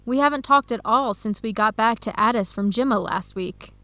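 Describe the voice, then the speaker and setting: An actress playing an earnest young researcher who confides carefully and clearly in an English-learning radio drama. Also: female voice, a little high, normal speed, fairly bright tone, no room sound, close to an everyday voice. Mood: sad, hopeless.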